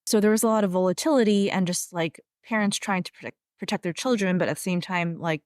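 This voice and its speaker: A woman's voice: fairly low pitch, fast talker, darkish tone, dry recording, clean studio sound. A woman hosting a podcast interview, speaking in a thoughtful, conversational way with many fillers. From of Taiwanese family background. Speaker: podcast host. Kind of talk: casual interview.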